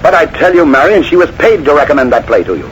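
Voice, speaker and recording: Menacing, volatile 1940s radio schemer. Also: male voice, on the high side, big pitch swings, quick pace. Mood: accusing. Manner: smooth veiled threats swinging to irritated insistence. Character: menacing, short-tempered married playwright, scheming and bitter. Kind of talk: 1940s radio mystery acting. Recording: noisy vintage 1940s radio broadcast.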